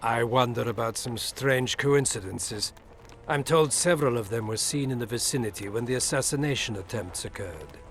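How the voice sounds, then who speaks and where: An actor playing a suave, middle-aged imperial ambassador in a video game, formal, measured and insinuating. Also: male voice, medium pitch, natural voice. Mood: coolly measured. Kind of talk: video game dialogue acting. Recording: music behind the voice.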